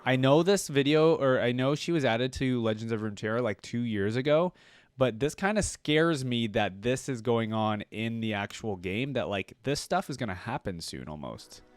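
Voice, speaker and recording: A man's voice: mid-range voice, expressive, normal speed, no echo. Casual male reactor on a dry mic. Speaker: host of a game reaction video.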